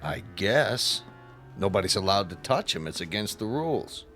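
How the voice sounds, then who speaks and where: A plain-spoken guard in a radio drama, a stickler for rules. His voice is fairly low with lively movement, over faint music. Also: moderate pace, full character voice. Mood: wary, matter-of-fact. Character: plain-spoken, rule-minding male museum guard. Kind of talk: old-time radio drama acting.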